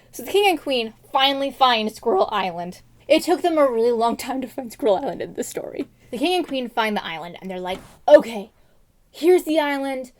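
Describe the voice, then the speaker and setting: High-voiced woman, quirky casual storyteller. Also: big pitch swings, normal speed. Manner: quirky, slangy, theatrical. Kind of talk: casual comic fairy-tale retelling.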